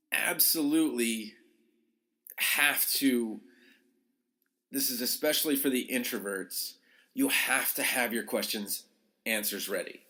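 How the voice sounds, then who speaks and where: A man's voice: mid-range voice, fairly bright tone. A man talking informally as a podcast host and coach, giving advice in a conversational, emphatic way. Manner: conversational, emphatic, acts out short quotes. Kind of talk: informal advice talk to listeners.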